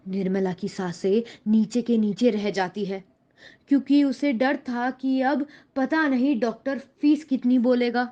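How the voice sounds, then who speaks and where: A female storyteller reads a scripted tale for children. Her voice is moderately high, and the recording is a little muffled and lo-fi. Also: unhurried, mellow. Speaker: story narrator.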